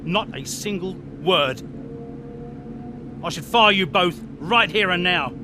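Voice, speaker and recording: A man's voice: high-pitched, takes it slowly, background noise. An actor playing a furious team principal who berates his drivers in a video game cutscene. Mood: threatening. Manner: scolding, harsh, commanding. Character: furious male team principal, stern and domineering. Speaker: racing team boss.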